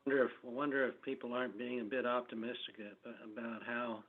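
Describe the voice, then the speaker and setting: A man taking part in a conference call over a phone line, hesitant and deliberate, pausing mid-phrase as he makes his point. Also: medium pitch, little pitch movement. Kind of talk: unscripted question and comment. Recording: tinny narrow phone line.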